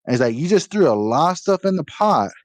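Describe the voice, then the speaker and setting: A man's voice: fairly high pitch, sing-song, a little fast, no echo. A man co-hosting a casual gaming podcast, critical and opinionated, repeating his points for emphasis. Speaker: podcast co-host.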